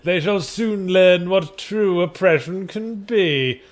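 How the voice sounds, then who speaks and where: A man's voice: high-pitched, slow pace, pitched above the performer's own voice. A man voicing an arrogant, tyrannical nobleman in a hammy fantasy story reading, pompous and menacing. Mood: haughty menace.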